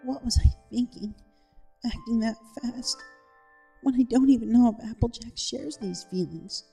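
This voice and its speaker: A man's voice: extremely high pitch, measured pace, vocal fry, dark tone, breathy edge, faint music behind, pitched above the performer's own voice, heavily acted. A man voicing a flustered, lovestruck young female pegasus pony who speaks earnestly and hesitantly in a story reading. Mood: panicked. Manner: hesitant, stammering. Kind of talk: fan fiction story reading.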